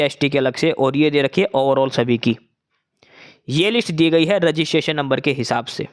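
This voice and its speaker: A man who hosts an exam-results channel, explaining cutoff lists in a casual, repetitive way, often restarting phrases and switching numbers into English. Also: a little high.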